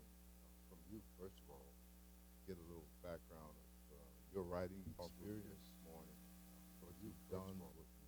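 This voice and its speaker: A man leading a poetry workshop, introducing a colleague and himself in a halting way with many fillers. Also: low-pitched, background noise. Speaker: workshop leader.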